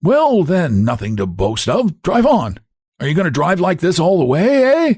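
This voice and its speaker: A man voicing an abusive young hunchbacked man with a cracked, shrill voice. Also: wildly swooping pitch, normal speed, a little mellow, breathy edge, no room sound, clean studio sound, full character voice. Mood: jeering, impatient. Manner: shrill, bullying, jeering.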